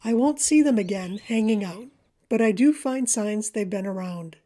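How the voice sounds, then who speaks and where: A woman reading a scripted voice-over about nature in a gentle, measured storytelling manner. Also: medium pitch. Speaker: presenter of a backyard birding video for a library.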